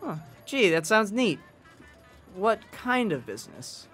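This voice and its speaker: A woman's voice: mid-range voice, big pitch swings, slow pace, fairly bright tone, husky, soft background music, full character voice. A woman playing an earnest, polite young bellboy in a 1920s-style radio drama, speaking in a hesitant, eager, deferential way.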